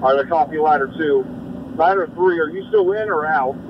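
A man commanding a fire scene speaks in short, clipped radio phrases. His voice sits slightly high and moves quickly. The sound is dull, caught on a dashcam with engine noise behind it. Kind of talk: terse radio command traffic. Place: outdoor night fire scene, recorded from a vehicle dashcam. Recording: muffled recording with loud vehicle engine noise.

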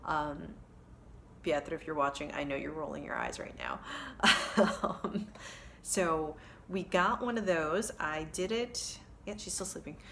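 Woman, thirties, low voice, rambling casual vlog, phone mic in living room. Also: very animated, measured pace, vocal fry, fairly bright tone, slight background noise. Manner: rambling, chatty, self-correcting with many ums. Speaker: cross-stitch vlogger. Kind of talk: casual chat to camera. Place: living room with kids' toys, indoors. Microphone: phone camera at arm's length.

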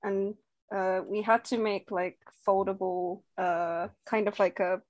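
A woman's voice: mid-range voice, unhurried, dry recording, lo-fi sound. A young woman from Thailand, a native Thai speaker, casually sharing a childhood memory on a video call. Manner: chatty, animated, laughing easily. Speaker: game participant. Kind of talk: casual personal storytelling.

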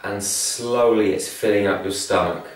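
A man leading a spoken guided meditation in a calm, soothing, measured way with long pauses between phrases. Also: fairly low pitch. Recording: very echoey room, distant from mic.

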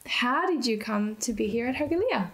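A woman's voice: a little high, lively intonation, moderate pace, fairly bright tone. A woman hosting a conversational radio interview on site, attentive to her guest.

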